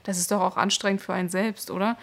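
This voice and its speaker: A woman's voice: medium pitch, fairly even intonation, fast talker. A woman in casual conversation, talking hesitantly with many fillers. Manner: hesitant, lots of fillers and restarts. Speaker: conversation partner. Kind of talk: casual chat.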